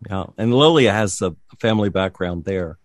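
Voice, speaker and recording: A man's voice: deep voice, animated, moderate pace, dry recording. A man hosting a radio interview show, speaking in a friendly, plain manner.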